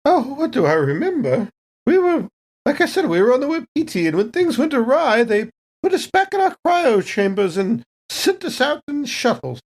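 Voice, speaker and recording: A man's voice: extremely high pitch, big pitch swings, moderate pace, full character voice. A man voicing a genteel, scatterbrained lady android tourist in a tabletop game, polite and rambling. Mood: earnest, rambling. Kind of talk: tabletop role-play acting.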